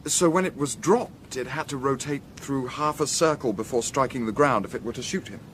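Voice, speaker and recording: An actor as a precise, persistent Victorian gentleman. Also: mid-range voice, a little fast, slight background noise, natural voice. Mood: probing, reasoning. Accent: English. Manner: precise, formal, politely insistent. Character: measured, persistent, deductive gentleman in Victorian household. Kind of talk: period radio drama acting.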